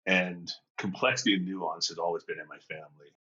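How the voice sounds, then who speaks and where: A man's voice: low voice, fairly even intonation, fairly quick, sharp tone, husky, dull audio. A man in his fifties, a leadership coach from England and Jamaica, telling his story in a thoughtful, open way with frequent restarts. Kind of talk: reflective conversational storytelling.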